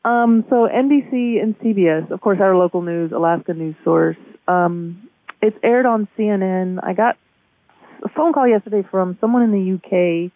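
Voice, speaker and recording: A woman being interviewed over the phone, casually recounting events in a halting way with repeated words. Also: mid-range voice, no room sound. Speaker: store manager being interviewed. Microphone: telephone call. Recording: muffled band-limited phone line.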